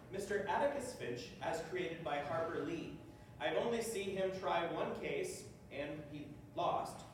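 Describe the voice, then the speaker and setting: A man playing a self-assured, wry judge in a stage reading, speaking in a formal, declamatory way. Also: a little high. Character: Judge Jubal Harshaw, self-assured, wry literary courtroom judge. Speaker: stage actor. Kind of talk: theatrical readers theater monologue. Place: stage in an echoey room. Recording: very echoey hall, distant mic, noisy.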